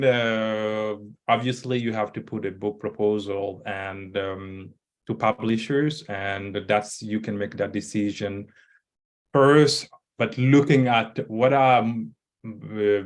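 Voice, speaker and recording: A man's voice: on the low side, steady tone, takes it slowly. A middle-aged man, not a native English speaker, talking on a video call through a headset, hesitant with many fillers and restarts. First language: not English, not French. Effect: video call audio. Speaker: author and scholar. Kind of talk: casual panel talk. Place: indoor room.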